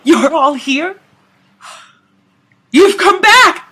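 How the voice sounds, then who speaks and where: A woman's voice: extremely high pitch, expressive, drawn-out words, sharp tone, slight background noise, voice pushed up, exaggerated voice. A woman voicing a terrified, hysterical man who cries out and repeats himself in a dramatic reading of old science fiction. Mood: exultant relief. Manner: exclamatory, repetitive, gasping and shrieking. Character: terrified, hysterical injured man, crying out in desperate awe.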